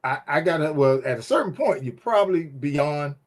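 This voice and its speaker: A man's voice: a little high, lively intonation, normal speed. A man co-hosting a casual faith-based talk show, speaking emphatically and animatedly, with a preachy storytelling manner. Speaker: podcast co-host.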